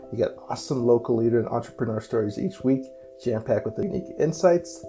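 A man hosting a business podcast, giving a lively promotional intro and asking his guest questions. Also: medium pitch. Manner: upbeat, promotional delivery. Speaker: podcast host. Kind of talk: casual podcast intro and interview.

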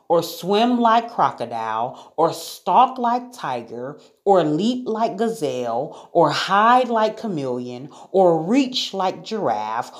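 A woman voicing a bad-tempered, bossy male lion in a children's story, roaring, snapping and jeering his words. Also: on the low side.

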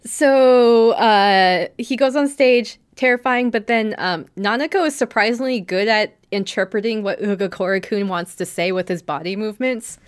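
A woman's voice: medium pitch, moderate pace, few pauses, smooth. A woman podcast host giving a casual, chatty recap, telling the story with acted-out quotes and plenty of "like".

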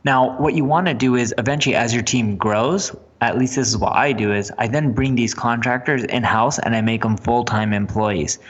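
A man's voice: medium pitch, moderate pace, hardly any pauses, slightly rough. A man co-hosting a business podcast, giving direct, matter-of-fact advice drawn from his own practice. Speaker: podcast co-host. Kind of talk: casual business podcast chat. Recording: dull, lo-fi recording.

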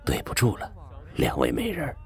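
An actor playing a cold, commanding fox monarch in a Chinese costume audio drama, imperious and threatening. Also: male voice, very deep voice, wildly swooping pitch, fairly quick, gravelly, heavy background noise, exaggerated voice. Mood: menacing.

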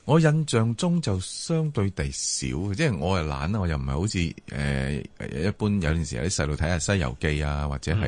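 A man's voice: low voice, very animated, a little fast, hardly any pauses, a little mellow. A man with a Hong Kong Cantonese accent co-hosting a casual late-night radio discussion, talking colloquially with frequent exclamations. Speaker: radio talk show co-host.

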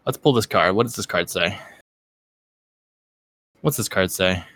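Young man, podcast co-host, casual and loose, cursing, low voice, dry room. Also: unhurried, fairly bright tone, dry recording. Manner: laid-back, swears freely, laughs often.

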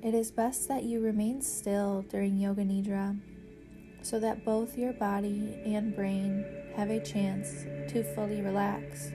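Slow, even-toned woman guiding meditation over music. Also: medium pitch. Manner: measured, soothing, instructional delivery with pauses. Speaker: yoga nidra meditation guide and podcast host. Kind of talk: guided meditation narration.

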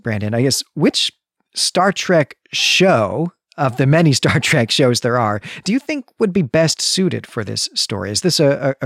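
A man's voice: mid-range voice, dry recording, clean studio sound. A man hosting a podcast, giving unscripted literary analysis in long, explanatory sentences. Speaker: podcast host.

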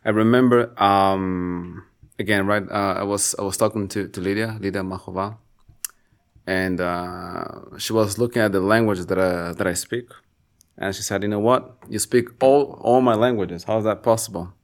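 A man casually telling a story on a podcast, relaxed and full of small restarts. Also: on the low side, normal speed. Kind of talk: casual conversation.

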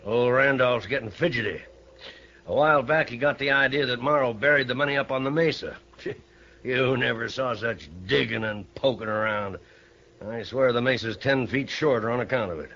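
An actor playing a shrewd, grudge-holding old ranch owner in a radio Western, with a folksy, needling American Western drawl. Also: male voice, medium pitch, normal speed, pushed and bright. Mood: sly, needling. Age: older. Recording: muffled vintage radio broadcast.

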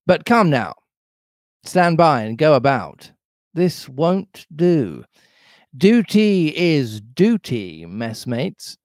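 Guy doing a wily old storybook sailor, jolly, slow salty dialect, highish voice. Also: sing-song, no echo, studio quality, full character voice. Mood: hearty, blustering. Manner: rustic nautical dialect, emphatic. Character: jovial, wily one-legged old sailor, ship's cook.

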